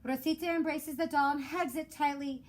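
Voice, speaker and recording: Female teacher, high-pitched, expressive children's read-aloud, measured delivery, clear background noise. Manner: measured storytelling with rhymed cadence. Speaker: elementary school teacher.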